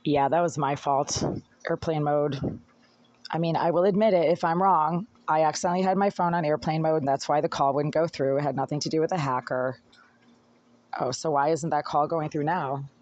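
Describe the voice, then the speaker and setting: A hoarse tenant recording an agitated, rambling complaint on a phone in an apartment, talking while fiddling with the device. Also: mid-range voice, steady tone, moderate pace, darkish tone, highs cut off. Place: apartment indoors. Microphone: phone held by speaker.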